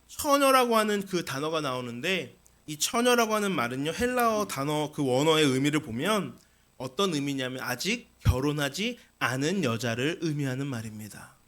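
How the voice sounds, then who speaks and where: A man's voice: a little high, a bit bright. A man preaching a sermon as a pastor, explaining scripture with rhetorical questions and repeated key phrases.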